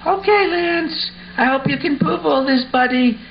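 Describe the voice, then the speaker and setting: A man's voice: very high-pitched, measured pace, vocal fry, heavily acted. A man playing a skeptical, questioning young boy, a teasing best buddy in an amateur puppet show. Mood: disbelieving. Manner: incredulous, questioning. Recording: muffled audio with faint background noise.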